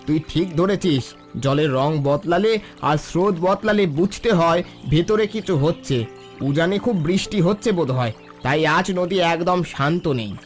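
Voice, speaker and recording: A man voicing a steady, protective village father in a cartoon, giving practical, instructive guidance. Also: on the high side, normal speed, natural voice. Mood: calm caution. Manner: instructive, reassuring. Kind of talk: Bengali cartoon dialogue. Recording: music behind the voice.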